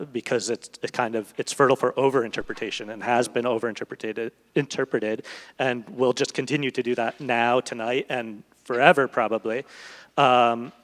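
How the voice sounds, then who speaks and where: Halting man in a reverberant hall. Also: mid-range voice, fairly bright tone. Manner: halting, digressive, searching for words. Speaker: literary translator and panel guest. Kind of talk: thoughtful on-stage literary conversation. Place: large hall, public event.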